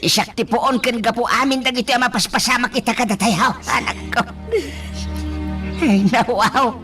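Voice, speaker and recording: An actress playing a guilt-stricken parent lamenting in drawn-out wails in an Ilocano radio melodrama. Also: female voice, fairly high pitch, fairly bright tone, soft background music, close to an everyday voice. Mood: guilty lament.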